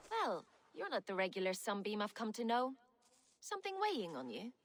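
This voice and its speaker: Dry sardonic viking ex-smuggler, bit wistful, game voice. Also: female voice, medium pitch, wildly swooping pitch, fairly quick, a little hiss or hum. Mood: teasing. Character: wry former smuggler Viking woman, lovelorn. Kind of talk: game dialogue.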